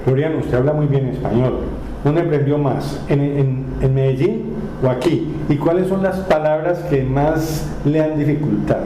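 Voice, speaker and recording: A man's voice: fairly high pitch, moderate pace, warm tone, roomy sound, slight background noise, low-quality recording. A man hosting a TV interview who asks polite, formal questions. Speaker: TV interview host.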